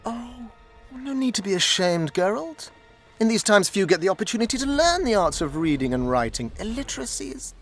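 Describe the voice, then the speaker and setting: An actor playing a condescending, scholarly court sorcerer in a video game, pompous, silky and mock-courteous. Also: male voice, high voice, big pitch swings, brisk, fairly bright tone, soft background music, heavily acted. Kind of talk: video game dialogue acting.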